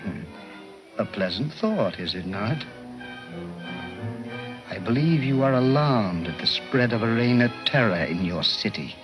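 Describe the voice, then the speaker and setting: An actor playing a menacing criminal mastermind in a 1940s film serial, speaking in a deliberate, theatrical, mock-polite manner. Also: male voice, medium pitch, animated, lightly acted, low-quality recording. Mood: slow, gloating menace. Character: menacing male criminal mastermind posing as voice of death. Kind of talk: 1940s film serial villain acting, radio broadcast speech. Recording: 1940s film soundtrack, music behind.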